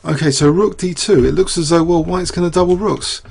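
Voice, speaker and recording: A man's voice: a little high, fairly quick, gravelly, darkish tone, husky. A man analyzing a chess game aloud, losing his voice, rambling through rhetorical questions with many fillers. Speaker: chess commentator.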